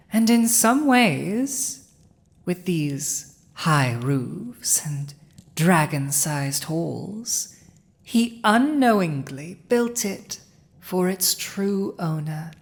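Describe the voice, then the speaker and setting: A woman voicing a villainous dragon usurper in a roleplay audio, haughty and possessive, speaking to the listener with imperious, teasing pauses. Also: lowish voice, exaggerated voice.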